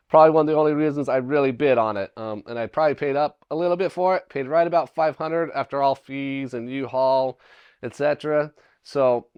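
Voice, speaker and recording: A middle-aged man with a hoarse voice after a cold, hosting a casual unboxing vlog and rambling aloud as he digs through a storage locker, recorded on a chest-mounted camera in a dim indoor room. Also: a little high, a little mellow, no room sound, lo-fi sound. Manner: rambling, thinking aloud while digging through items. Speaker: storage locker reseller, channel host.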